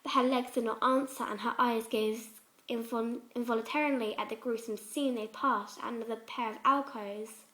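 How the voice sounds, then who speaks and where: A woman's voice: fairly high pitch, fairly even intonation, moderate pace, pushed and bright, low-quality recording. A woman reading fan fiction aloud as a dramatic scripted story narration.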